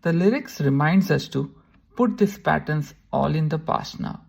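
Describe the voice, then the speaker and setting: A speaker giving a brisk recap in the style of a scripted summary. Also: mid-range voice, lively intonation, gravelly, a little mellow, breathy edge.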